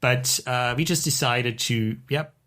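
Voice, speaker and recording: Male podcast co-host, medium pitch, conversational and keen. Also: unhurried, bright, forceful tone. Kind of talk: casual podcast talk.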